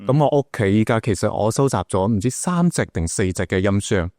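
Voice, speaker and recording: A man's voice: on the low side, expressive, a little fast, a little mellow, no room sound, clean studio sound. A man with a Malaysian accent hosting a casual, chatty interview. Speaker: podcast host, interviewer.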